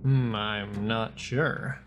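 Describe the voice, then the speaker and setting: Woman putting on a very low, deadpan frog detective. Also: extremely slow, faint music behind, exaggerated voice. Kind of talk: video game character read-aloud.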